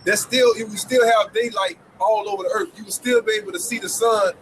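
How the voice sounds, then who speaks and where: A man with a high voice talks through a point outdoors, slangy and emphatic. Traffic and street noise sit clearly behind him. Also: steady tone, fairly quick, fairly bright tone, low-quality recording. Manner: reasoning aloud, slangy, emphatic. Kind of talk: casual argument.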